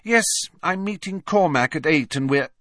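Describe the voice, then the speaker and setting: A man's voice: on the high side, animated, moderate pace, a bit bright, no room sound, studio quality, voice pushed up. A man voicing a prim, sweetly smug teenage schoolgirl witch with pointed emphasis, in a storybook reading.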